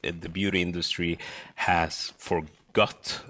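A man raised in Sweden, a model and beauty brand founder, speaking earnestly on a panel, often halting to find his words. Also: deep voice, flat delivery, pushed and bright, no echo. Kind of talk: panel discussion.

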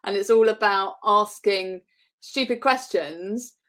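A woman's voice: medium pitch, takes it slowly, a bit bright. A woman hosting a podcast, a doctor, asking her guest thoughtful, encouraging questions. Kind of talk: interview questions.

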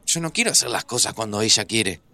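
An actor with an Argentine accent playing an emotional, teasing friend in a naturalistic audio drama, speaking colloquially. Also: male voice, on the low side, animated, quick pace, pushed and bright, no echo. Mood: troubled, confiding. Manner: colloquial, conversational. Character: Santi, male friend reunited, emotional, teasing, guarded about family. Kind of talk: naturalistic audio fiction acting. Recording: music under the voice.

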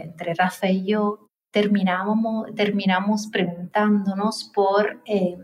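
A woman's voice: mid-range voice, darkish tone. A woman host posing a long, conversational question, with fillers and small restarts. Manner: conversational, explains at length with fillers. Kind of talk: podcast interview.

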